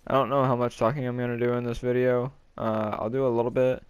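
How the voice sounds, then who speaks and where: A man doing casual walkthrough commentary for a game video, talking in a halting, low-key way. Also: little pitch movement, clear, resonant tone, no echo, muffled recording. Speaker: gaming video creator.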